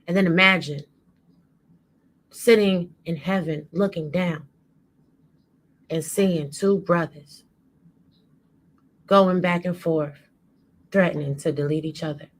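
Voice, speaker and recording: A woman delivering an impassioned spoken-word monologue with dramatic, emphatic and deliberate phrasing. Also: fairly low pitch.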